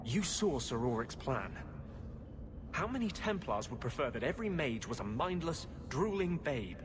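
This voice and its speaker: An actor playing an earnest, brooding rebel mage in a video game, speaking with impassioned intensity. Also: male voice, mid-range voice, very animated, moderate pace, lightly acted. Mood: impassioned, bitter. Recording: clear ambient noise behind voice.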